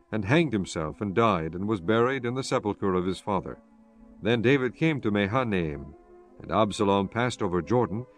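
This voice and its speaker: A man narrating scripture from a script in a measured, solemn storytelling manner. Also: on the low side. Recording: faint music behind the voice.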